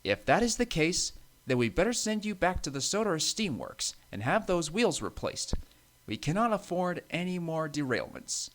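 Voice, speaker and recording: A man's voice: fairly high pitch, sing-song, moderate pace, voice pushed up. A man voicing a firm but kindly railway controller who speaks formally, in a children's story. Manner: formal, authoritative.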